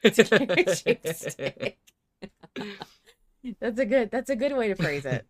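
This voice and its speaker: A woman with a mid-pitched voice co-hosts a fan podcast. She talks casually and effusively, in loose, informal language, as if among friends. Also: sing-song, a little slow. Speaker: podcast co-host.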